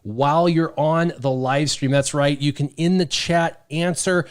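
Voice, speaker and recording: A man's voice: on the high side, steady tone, unhurried, clear, resonant tone. A man hosting a casual livestream, upbeat and talking straight to the viewers. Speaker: livestream host.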